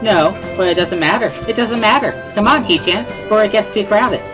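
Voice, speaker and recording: A woman voicing a bubbly, excitable, teasing teenage schoolgirl in an amateur visual novel reading. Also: medium pitch, a little fast, music in the background, natural voice. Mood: excited, coaxing. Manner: playful, exclamatory, repeats words, laughs loudly.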